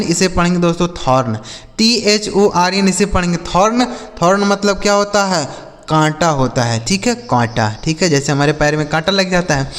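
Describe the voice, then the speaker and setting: A man's voice: high-pitched, fairly bright tone, in a large hall. A man teaching English vocabulary, spelling each word letter by letter and repeating its meaning several times. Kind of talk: slow spelled-out vocabulary lesson.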